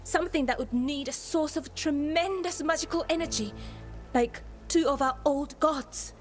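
An actress playing an earnest, self-critical expert in ancient magic in a video game cutscene. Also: female voice, high voice, normal speed, faint music behind, natural voice. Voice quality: earnest, serious.

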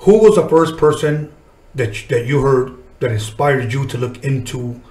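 A middle-aged man speaks as a podcast interviewer in a casual, conversational style. His voice is mid-pitched and sits in a noticeably echoey room. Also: moderate pace, a little mellow. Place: small indoor podcast studio.

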